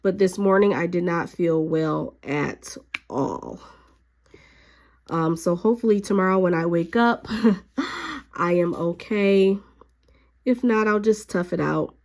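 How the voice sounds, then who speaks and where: A woman hobby vlogger who is a little sick and a bit raspy, chatting casually with lots of fillers while she works at her craft desk at home. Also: lowish voice, slow pace, pauses often. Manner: chatty, relaxed, frequent fillers.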